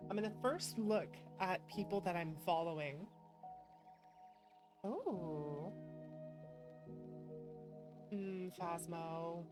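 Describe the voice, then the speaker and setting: Female streamer, unhurried and slightly low, affectionate casual chat over faint music. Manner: effusive, affectionate, thankful to viewers. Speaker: streamer hosting a live stream. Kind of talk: casual livestream chat to viewers.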